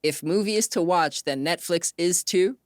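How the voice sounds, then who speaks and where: A woman's voice: fairly low pitch, normal speed, dry recording. A woman hosting an interview in a casual, informal way, often affirming with short repeated acknowledgements. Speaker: interview host.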